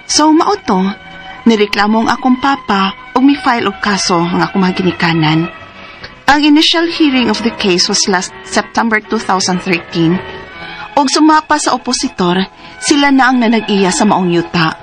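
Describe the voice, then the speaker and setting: A woman's voice: mid-range voice, lively intonation, moderate pace, over music, lightly acted, poor audio. An actress in a radio drama playing a determined adult daughter who speaks earnestly to her mother. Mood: anxious. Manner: earnest, pleading, comforting.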